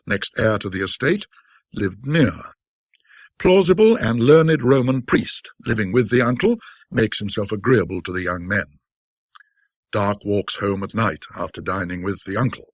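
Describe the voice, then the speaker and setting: Deep, husky man narrating with dramatic swings. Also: no echo, clean studio sound. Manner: wry, scholarly, self-deprecating storyteller, with dramatic pauses. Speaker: narrator of classic ghost stories. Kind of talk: theatrical literary audiobook narration in an old-fashioned English manner.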